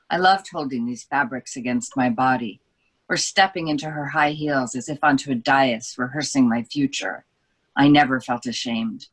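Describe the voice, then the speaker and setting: A reflective young wife turning over her regrets, as a woman reads her aloud. The voice sits low and quiet, unhurried and measured. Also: poor audio. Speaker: book editor. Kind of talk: literary reading.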